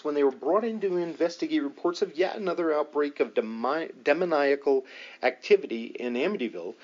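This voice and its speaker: A man's voice: a little high, a little mellow, highs cut off. A man narrating a nonfiction book as an audiobook reader, in a scripted reading style.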